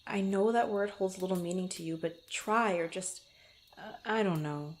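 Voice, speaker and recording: A woman playing a proud but tender young female adventurer in an intimate ASMR roleplay, speaking softly and haltingly. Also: fairly low pitch, slight background noise, lightly acted. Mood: earnest, pleading. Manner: halting, stammering, soft-spoken with breathy asides.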